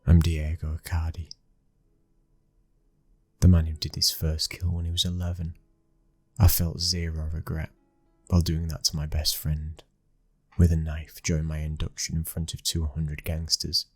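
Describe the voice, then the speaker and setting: A British man acting a cold, dominant young mafia heir and protective new husband in an ASMR roleplay, speaking in a low, husky hush, slow and commanding. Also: completely flat delivery. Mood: cold, guarded.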